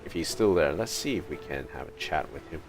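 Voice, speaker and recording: Deep guy streamer, casual game commentary, murmury reactions, game music under it. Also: expressive, brisk. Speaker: livestreamer.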